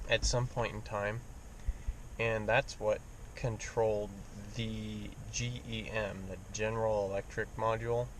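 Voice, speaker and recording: A man's voice: on the low side, poor audio. A man casually narrating his own hands-on repair vlog in a plain-spoken, wry way. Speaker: DIY truck repair vlogger. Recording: background noise of vehicle and hum.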